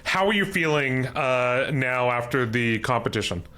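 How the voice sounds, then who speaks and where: A man's voice: mid-range voice, animated, moderate pace, sharp tone. A man in his thirties hosting a podcast, upbeat and playfully teasing, with frequent "uh" fillers. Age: 30s. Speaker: podcast host.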